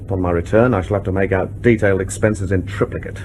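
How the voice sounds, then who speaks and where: A man acting as a cynical secret agent in a radio play. His voice is on the low side, dry and sardonic, with a grumbling, uneasy edge. Faint noise sits behind him. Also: fairly even intonation, fast talker, a little mellow, natural voice. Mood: dry, weary. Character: cynical, nervy male British intelligence agent.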